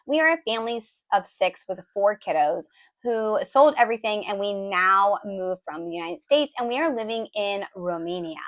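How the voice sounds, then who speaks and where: A woman in her thirties, hoarse as her voice recovers, chatting casually through a family travel recap with lots of ums and likes. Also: medium pitch, expressive, normal speed, fairly bright tone. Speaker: travel vlogger, mother of four.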